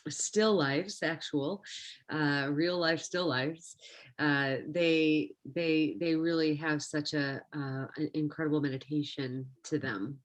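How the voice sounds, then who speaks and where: Female curator-interviewer, low register, effusive and exploratory, casual conversational delivery over a video call. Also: fairly even intonation, slow. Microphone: video-call microphone.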